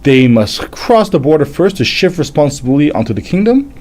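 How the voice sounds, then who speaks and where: A man in his thirties whose first language is French, hosting a casual live manga reading and chat, halting and stumbling as he reads aloud. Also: fairly high pitch, very animated, a little mellow. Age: 30s. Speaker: livestream host, manga reviewer.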